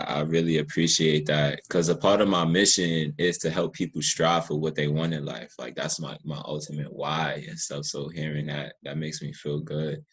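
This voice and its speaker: A man's voice: very deep voice, breathy edge. A man hosting a casual podcast interview, speaking in an earnest, effusive and encouraging manner. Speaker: podcast host and writer.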